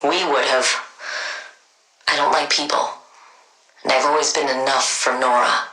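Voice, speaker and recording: An actress playing a brilliant, haughty scientist supervillain in a video game, speaking in precise terms with a dry, deadpan, sardonic edge. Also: female voice, a bass voice, unhurried, reverberant, heavily acted, rough recording. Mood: measured.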